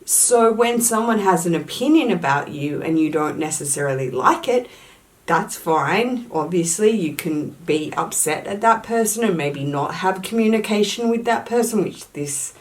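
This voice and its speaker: A young woman talks straight to camera in a slightly low voice. She speaks with firm emphasis, repeating key words to drive her points home. Her tone stays casual throughout. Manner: uses "allegedly" often as a hedge. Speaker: YouTuber.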